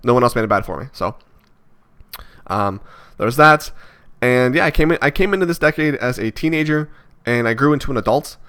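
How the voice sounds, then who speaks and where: A man's voice: mid-range voice, expressive, a little fast, fairly bright tone. A man in his twenties musing aloud in a vlog, hesitant and rambling, with many fillers and restarts. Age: 20s. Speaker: vlogger. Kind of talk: reflective casual monologue.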